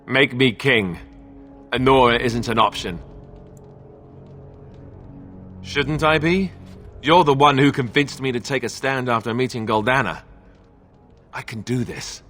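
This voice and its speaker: A man's voice: mid-range voice, moderate pace, fairly bright tone, soft background music, lightly acted. A man voicing a young, self-doubting heir to a throne in a fantasy video game, earnest and wry, with a conversational, hesitant way of talking. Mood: uncertain resolve.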